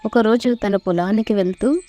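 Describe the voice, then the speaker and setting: A woman's voice: medium pitch, moderate pace, warm tone. A woman narrator reading a scripted moral story for children in a storytelling style.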